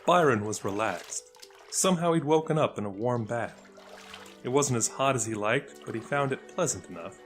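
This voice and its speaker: A man reading scripted fantasy narration as a storyteller, with dramatic, deliberate delivery. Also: medium pitch, lively intonation, music in the background.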